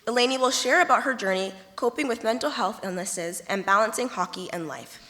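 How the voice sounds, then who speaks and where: A woman's voice: fairly high pitch, fairly quick, pushed and bright. A woman reads a scripted introduction as an event announcer. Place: large indoor hall, event stage. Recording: echoey hall with long reverb.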